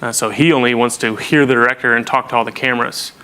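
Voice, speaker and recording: A man's voice: medium pitch, steady tone, fast, bright, forceful tone, clear, resonant tone. A man presenting an informal technical lesson, explaining things in a casual, plain-spoken way. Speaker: presenter of a technical training session.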